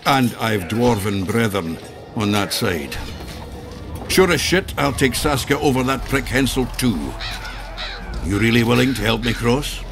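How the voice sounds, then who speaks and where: An actor playing a gloomy, crude, hard-drinking dwarf in a video game, speaking in a blunt, grumbling, earthy way. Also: male voice, medium pitch, lively intonation, unhurried. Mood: blunt, resolved. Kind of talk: video game dialogue acting.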